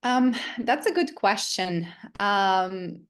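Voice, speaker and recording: A woman's voice: mid-range voice, lively intonation, takes it slowly. A woman in her thirties from Serbia, answering interview questions with frequent hesitations and fillers. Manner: hesitant, many ums. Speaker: guest coach and trainer.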